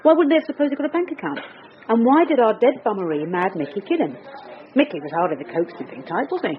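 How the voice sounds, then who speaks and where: Police detective, British accent, shrewd, dry-witted, animated delivery, radio drama, faint background noise. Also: female voice, on the high side, fast, muffled recording. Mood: musing, sceptical. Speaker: actor.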